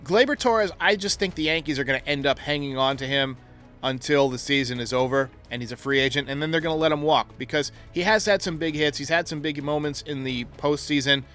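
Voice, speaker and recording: A man's voice: fairly high pitch, brisk, fairly bright tone, faint music behind. A man hosting a sports talk show, giving opinionated commentary and reasoning aloud through his points. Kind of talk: sports commentary, answering caller voicemails.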